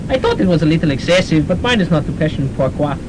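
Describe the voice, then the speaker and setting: A man playing a deadpan, obedient palace guard in a radio comedy. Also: fast talker, close to an everyday voice. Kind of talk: campus radio comedy drama acting. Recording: noisy rumbling student radio recording.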